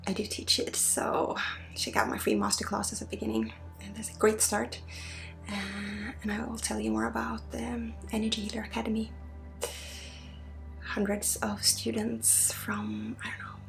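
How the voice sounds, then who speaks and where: A woman leading an ASMR energy healing session, soft-spoken and often slipping into a breathy whisper. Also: a little high. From Sweden. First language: Swedish. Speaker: energy healer and spiritual guide.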